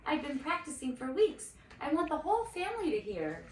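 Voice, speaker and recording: A woman's voice: high voice, wildly swooping pitch, fairly quick, pitched above the performer's own voice. A woman voicing an eager, caring young farm girl in a storybook, exclaiming earnestly. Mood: upset. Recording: roomy, distant mic with faint noise.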